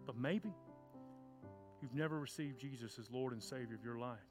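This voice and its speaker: A children's pastor, preaching fervently and then praying, loud and passionate at the peaks. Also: male voice, medium pitch, moderate pace. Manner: fervent shouting preacher, stomps and cries. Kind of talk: sermon and closing prayer. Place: church sanctuary. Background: soft guitar music.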